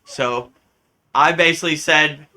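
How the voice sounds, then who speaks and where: A man from the United States, a spiritual teacher telling a story in a live Q&A, animated and often acting out the voices he quotes. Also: fairly high pitch, unhurried. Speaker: spiritual teacher answering questions.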